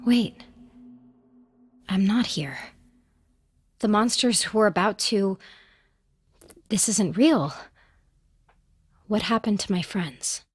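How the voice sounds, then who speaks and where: An actress playing a frightened, questioning woman in danger in an audio drama, halting and urgent. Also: mid-range voice, lively intonation, breathy edge. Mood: dazed.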